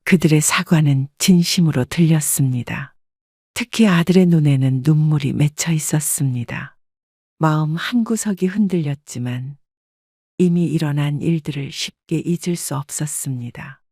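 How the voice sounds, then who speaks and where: A woman narrating a scripted first-person life story in a calm, even manner. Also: deep voice, normal speed, dry recording, clean studio sound.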